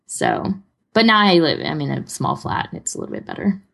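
A woman's voice: on the low side, wildly swooping pitch, a little fast, gravelly, fairly bright tone. A woman co-hosting a podcast, chatting casually in a rambling, self-deprecating way. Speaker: podcast co-host.

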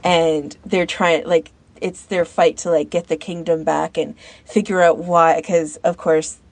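A woman on a podcast panel chatting casually in an informal, halting way, as if thinking aloud. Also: lowish voice, steady tone, no echo. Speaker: podcast panellist recommending fan audio fiction. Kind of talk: casual chat.

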